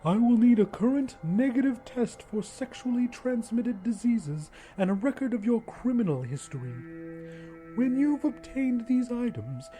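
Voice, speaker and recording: A man's voice: extremely high pitch, lively intonation, a little slow, dark tone, pitched above the performer's own voice, low-quality recording. A man voicing a weird, frog-like little man who recruits for a secret party, speaking formally and probingly in an audiobook story, with music behind him. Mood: formal, unfazed. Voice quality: weird, odd voice.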